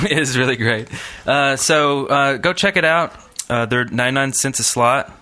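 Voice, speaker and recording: A man with a mid-pitched, bright and crisp-edged voice hosts a casual podcast. He talks in a loose, easygoing ramble, moving things along informally. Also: moderate pace. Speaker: podcast host. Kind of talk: casual chat and loose ad reads.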